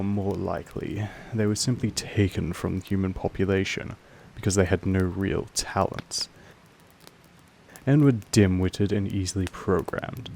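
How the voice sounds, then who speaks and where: A man's voice: sits low, vocal fry, a little mellow, slight background noise, low-quality recording. A man playing a possessive, aloof vampire master in an audio drama, speaking formally and condescendingly in an intimate monologue to the listener. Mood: calm, condescending. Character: possessive, aloof male vampire master, patronizing yet fond. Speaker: voice actor in a roleplay audio drama.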